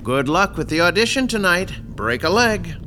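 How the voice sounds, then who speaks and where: An actor playing a calm, controlling male crime boss in an audio horror drama, polite and precise with quiet menace. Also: high voice, faint music behind, full character voice. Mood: politely dry. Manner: polite, precise, coolly deliberate.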